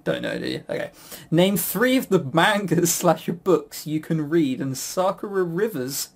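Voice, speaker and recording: A man's voice: on the high side, expressive, a little slow, gravelly. A man hosting a casual quiz, chuckling and teasing as he banters. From a Western country. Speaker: quiz host.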